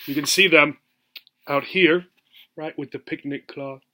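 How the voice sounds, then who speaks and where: A man's voice: fairly high pitch, fairly even intonation, measured pace, bright, forceful tone. A man reading a rhyming storybook aloud as a teacher, adding simple explanations and checking that learners follow. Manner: checks understanding with "right?".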